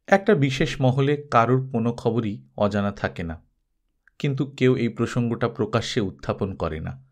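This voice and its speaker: A man reading literary fiction aloud as a story narrator, with an expressive, dramatized delivery. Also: medium pitch, normal speed. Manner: expressive, acts out dialogue.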